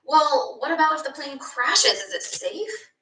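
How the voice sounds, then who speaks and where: A woman's voice: high-pitched, very animated, unhurried, bright, forceful tone, voice pushed up, exaggerated voice. A woman voicing a worried, doubtful young boy in a storybook, hesitant and full of questions. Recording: echoey room, distant microphone.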